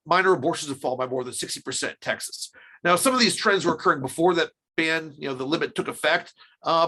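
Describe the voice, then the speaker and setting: Fast-talking man explaining research. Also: on the high side, sharp tone. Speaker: podcast guest, research scholar. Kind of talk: interview commentary, explaining statistics.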